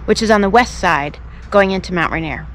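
A middle-aged woman talking casually to a handheld camera outdoors, giving relaxed, informative tips on hiking spots and road stops. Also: mid-range voice, brisk, a little hiss or hum. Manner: relaxed, informative tour-guide talk. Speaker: hiking vlogger. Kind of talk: casual travel vlog narration. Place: outdoors by roads, parking lots and trails. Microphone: handheld camera at arm's length.

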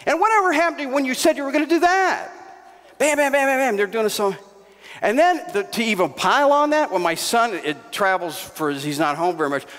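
Middle-aged man, pastor, storytelling sermon, high voice, headset mic, long reverb. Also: fairly quick. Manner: animated, gestures widely, bursts into raised shouts. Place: church stage before a congregation.

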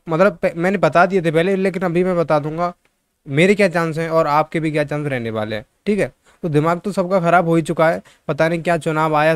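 A young man talks casually to his viewers. His voice sits a little high and is very clean and smooth. He is close to the microphone, with a dry sound and frequent conversational check-ins. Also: dry recording. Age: 20s. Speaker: YouTube job-news presenter. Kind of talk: casual informal update to viewers. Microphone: close microphone in front of mouth.

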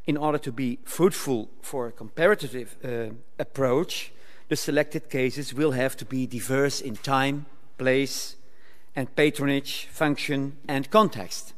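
An older man reading a formal academic paper aloud at a lectern, measured and occasionally stumbling over words. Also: medium pitch, steady tone, fairly bright tone. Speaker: conference speaker. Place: lecture hall, speaking at a lectern. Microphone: lectern microphone. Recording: reverberant hall.

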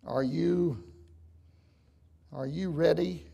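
An older man with a gravelly, raspy voice, preaching a folksy, emphatic sermon to his congregation. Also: a little high, very slow, warm tone, dull audio. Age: older, 60s or 70s. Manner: emphatic, folksy, addressing listeners directly with questions. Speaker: preacher, church bishop. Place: church sanctuary, at the pulpit.

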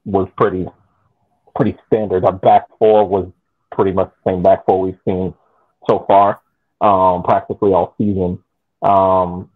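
A young man chatting casually about sport as a podcast panelist on a video call, with a halting delivery. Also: sits low. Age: young adult. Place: indoor room at home. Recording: muffled phone-like call audio.

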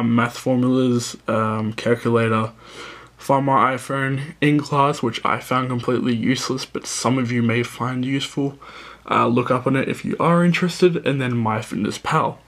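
A young man, his voice croaky and a little worn from a cold. He talks casually through his apps, often stopping to correct himself. He sounds like he is recording on a handheld camera in a dim room. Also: mid-range voice. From Australia. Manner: rambling, self-correcting, apologetic. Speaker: app reviewer. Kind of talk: casual app walkthrough. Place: dim room indoors, filming a tablet screen.

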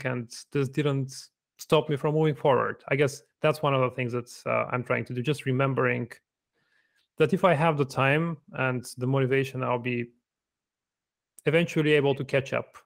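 A man in his thirties speaks with a Bulgarian accent, his answers quiet and considered. His voice has a touch of gravel, heard through a desk mic on a video call from home. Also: medium pitch. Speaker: guest software engineer. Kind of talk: casual video-call interview. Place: living room at home. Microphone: desk microphone on video call.

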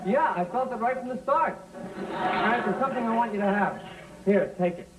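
A bashful, dim teenage schoolboy with a high, halting voice, a little off mic. It is broad vintage television comedy. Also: male voice, recorded from a distance, a crowd in the background, noisy background. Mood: bashful, flustered. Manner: halting, gushing. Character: goofy, bashful, slow-witted teenage schoolboy. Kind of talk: 1950s sitcom acting. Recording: old television soundtrack, off mic.

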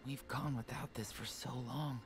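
An actor playing an earnest teenage boy hero in a video game, gentle, soft and sincere. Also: medium pitch, steady tone, normal speed, soft background music, natural voice. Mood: wistful.

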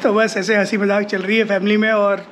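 A man's voice: high-pitched, flat delivery, a little fast, a bit bright, a little hiss or hum. A man chatting and joking as he vlogs a family road trip, laughing often. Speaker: travel vlogger. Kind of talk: casual family travel vlog. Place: roadside stall, car and family home.

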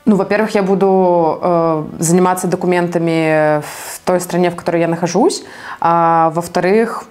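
A woman from Belarus with a slightly low voice speaks as an interviewee. Her answers are conversational and somewhat halting, as she works out her thoughts while talking. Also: steady tone, a little mellow, soft background music. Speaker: activist interviewee.

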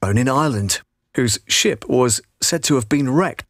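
British male voice-over, middle-aged, measured scripted storytelling read, medium pitch, dry booth sound. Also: lively intonation, no room sound. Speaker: voice-over artist. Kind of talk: scripted documentary narration for a promotional video. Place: vocal booth. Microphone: studio condenser microphone.

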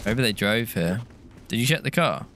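A man's voice: lowish voice, animated, normal speed, a bit bright, slight background noise. A man from Britain co-hosting a game stream, chatting casually, thanking donors and singing little jingles. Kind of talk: casual chat while gaming. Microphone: gaming headset.

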